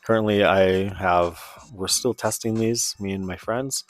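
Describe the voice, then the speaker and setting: A man's voice: deep voice, steady tone, measured pace. A man giving a casual, informal product review in a conversational way. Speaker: presenter of radio gear review.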